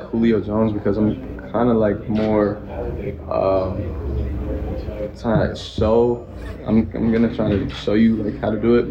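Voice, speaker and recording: A young college football player answering reporters hesitantly, with many ums. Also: male voice, fairly low pitch, lo-fi sound. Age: young adult. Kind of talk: press conference answers.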